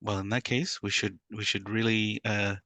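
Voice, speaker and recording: A man chairs the question session of an academic talk, polite and formal, with frequent uhs. His voice is low and bright, and the sound is dry. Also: little pitch movement, normal speed, no echo. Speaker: moderator. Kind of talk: moderating a talk.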